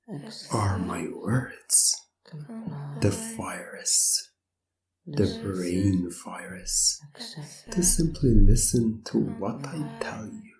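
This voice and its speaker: An echoey, slightly distant recording of a woman with a strikingly deep voice. She speaks as an ASMR hypnotist, breathy and often whispering, repeating her suggestions as hypnotic commands.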